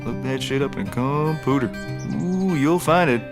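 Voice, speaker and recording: An actor playing an earnest, simple and proud backwoods detective with a folksy manner, in a scripted comedy. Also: male voice, medium pitch, music in the background, lightly acted. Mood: earnest, insistent. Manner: folksy, often opens with a drawn-out "ooh".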